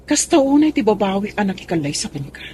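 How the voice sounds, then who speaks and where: A woman's voice: mid-range voice, sing-song, fast talker, dark tone, natural voice. Radio drama wife and mother woman acting: protective, wounded, swooping intonation, Filipino accent, noisy recording. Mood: hurt, reproachful. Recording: clear background ambient noise.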